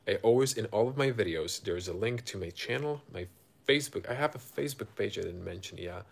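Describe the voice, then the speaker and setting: A man from Croatia with a Croatian accent, casually chatting to viewers as a car reviewer on a live stream, halting and thinking aloud. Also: fairly low pitch, lively intonation, creaky. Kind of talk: casual unscripted chat with viewers.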